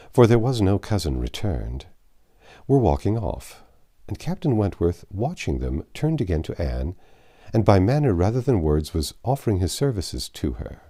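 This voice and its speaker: A man with a very low, mellow voice reads literary fiction aloud. His pitch moves widely as he tells the story, with measured, deliberate phrasing. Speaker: audiobook narrator. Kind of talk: literary novel reading, scripted narration.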